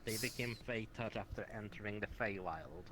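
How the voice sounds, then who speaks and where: A man playing an analytical, cautious examiner of the supernatural in an improvised virtual-reality roleplay, speaking in a deliberate, explanatory way. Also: on the low side, noisy background, lightly acted. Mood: measured, speculative.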